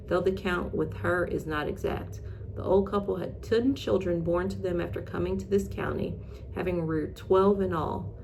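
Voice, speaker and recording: A woman's voice: lowish voice, gravelly, darkish tone, a little hiss or hum, rough recording. A woman hosting in a casual, chatty way, mixing reading aloud with informal, self-deprecating asides. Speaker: host reading old newspaper articles.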